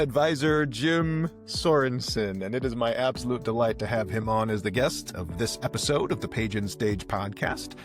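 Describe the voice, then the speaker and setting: A man's voice: fairly low pitch, no room sound, clean studio sound. A man with an enthusiastic, emphatic manner, hosting a podcast in a conversational style. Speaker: podcast host and interviewer.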